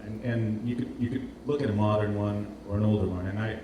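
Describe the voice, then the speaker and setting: Echoey, distant man presenting haltingly. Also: lowish voice, steady tone. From Portugal. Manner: hesitant, repeats words as he searches for phrasing. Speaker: presenter, industrial designer. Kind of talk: informal conference presentation. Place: indoor event space with heavy echo. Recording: roomy, distant, noisy live hall recording.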